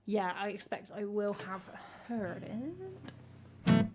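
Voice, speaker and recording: A woman from England with a croaky voice, chatting casually with her live-stream chat as a singer-songwriter and teasing herself as she goes. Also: medium pitch, big pitch swings, slow pace, a little mellow, faint music behind. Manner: chatty, self-teasing, hums melody snippets.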